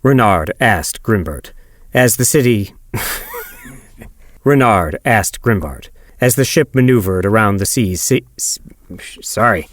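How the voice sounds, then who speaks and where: A man's voice: on the low side, expressive, slow pace, long pauses, dry recording, studio quality. A man reading scripted audiobook narration, going back over lines in retakes.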